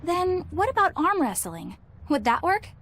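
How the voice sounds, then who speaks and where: A woman's voice: extremely high pitch, big pitch swings, measured pace, a bit bright, a little hiss or hum, full character voice. A woman playing an earnest, conscientious young female exorcist in a video game. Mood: tentative.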